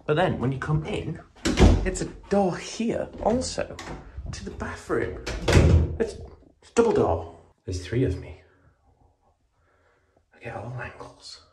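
A man's voice: on the high side, big pitch swings, slow, long pauses. A man in his twenties vlogging casually to a handheld camera while on tour as a musician, chatty and jokey. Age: 20s. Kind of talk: casual vlog narration. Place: van, hotel room and small venue. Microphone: phone or camera on a handheld grip. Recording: noisy handheld phone audio.

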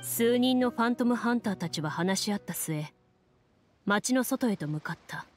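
A woman playing a composed, serious young duelist who tells lore in a measured, matter-of-fact way for a video game. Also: fairly high pitch, expressive, over music, natural voice. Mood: measured narration. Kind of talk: scripted game dialogue and storytelling.

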